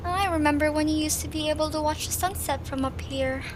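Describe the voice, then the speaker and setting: A woman playing a gentle, wistful little female cartoon creature in an amateur audio drama. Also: high voice, fairly even intonation, measured pace, background noise.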